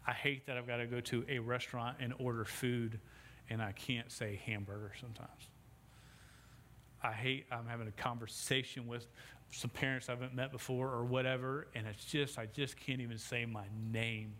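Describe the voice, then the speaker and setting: A man's voice: mid-range voice, fairly even intonation, moderate pace, fairly bright tone. A middle-aged man preaching a sermon as pastor, speaking with a stutter that repeats sounds and restarts words, on a sound system microphone. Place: church sanctuary. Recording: long hall reverb, faint background noise.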